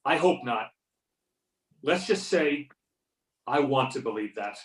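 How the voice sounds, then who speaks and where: A man's voice: mid-range voice, expressive, takes it slowly, fairly bright tone. Weary male government agent, read by a man, dry and wry, roomy remote-call sound, off mic. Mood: casual, weary. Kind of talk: amateur readers theater over a remote call. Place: remote video or phone conference. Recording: roomy, distant call microphone.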